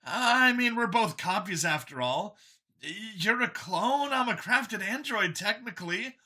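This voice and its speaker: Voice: medium pitch, animated, unhurried, pushed and bright, voice pushed up. A narrator voicing a smug, bigoted android copy of a man in an audiobook, with an eager, boastful, emphatic and sneering delivery. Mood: eager, chatty.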